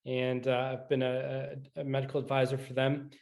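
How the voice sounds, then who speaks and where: A middle-aged man originally from Scotland speaks as an interview guest. His pitch is mid-range and stays quite flat, and he talks in a measured, conversational way, pausing often as he forms his answers. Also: creaky. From Scotland, moved to the US in high school. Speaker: guest, orthopedic surgeon.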